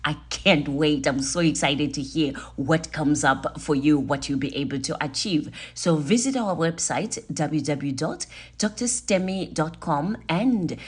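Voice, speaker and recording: A woman with a fairly low voice hosts a podcast interview show. She is enthusiastic and chatty, talking directly to her audience in an upbeat presenting style. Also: measured pace, slightly rough. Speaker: show host and conference organiser.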